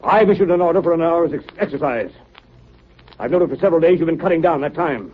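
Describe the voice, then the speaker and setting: An actor playing a stern, authoritative navy captain in a 1930s radio drama, speaking formally and with command. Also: male voice, a little high, quick pace, breathy edge. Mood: angry, commanding. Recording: noisy, tinny 1930s radio broadcast.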